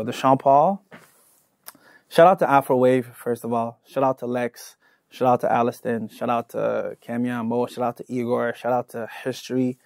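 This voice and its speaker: A man in his thirties from Angola, whose first language is Portuguese, tells his story with lively gestures. He speaks at a middling pitch. The sound is close and dry, recorded in a studio. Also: creaky, dry recording. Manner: animated storytelling with hand gestures, shifting energy. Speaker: guest artist. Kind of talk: casual interview.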